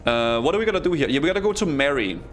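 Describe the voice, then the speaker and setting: A man from Denmark, chatting excitedly with his viewers while he streams a game. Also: a little high, racing, faint music behind. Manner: excitable, chatty, talks straight to chat. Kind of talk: casual live-stream commentary.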